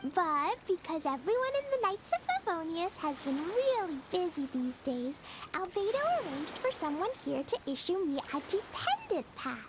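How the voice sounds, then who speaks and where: Eager little kid game character, really high n bouncy, childlike. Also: female voice, very animated, moderate pace. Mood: giddy. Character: sweet excitable little girl, eager and innocent. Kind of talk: video game character dialogue. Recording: clear game noise behind voice.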